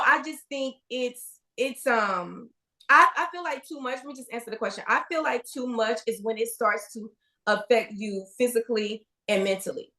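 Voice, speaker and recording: A woman's voice: fairly high pitch, a bit bright. A woman in her forties co-hosting a podcast, talking candidly and casually with many fillers and restarts. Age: 40s. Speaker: podcast co-host, registered nurse. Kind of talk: casual chat.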